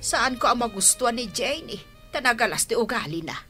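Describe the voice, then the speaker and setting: An insistent, disapproving mother in a radio drama. Her voice sits high and swings widely as she scolds and stresses her points. Faint music plays underneath. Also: animated, a little fast, pushed and bright. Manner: scolding, emphatic. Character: insistent, disapproving mother pressing her son about love. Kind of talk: Ilocano radio drama acting.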